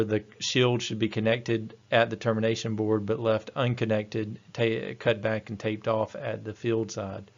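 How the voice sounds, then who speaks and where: Low, flat-voiced male technical instructor. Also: lo-fi sound. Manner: matter-of-fact, methodical. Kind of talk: slide-based technical lecture.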